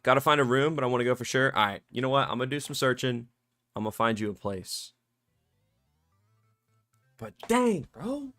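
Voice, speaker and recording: Excitable slangy man, slightly scratchy. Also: mid-range voice, normal speed, pauses often, faint music behind. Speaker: streamer. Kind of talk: casual live-stream chat. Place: warm home room at his computer.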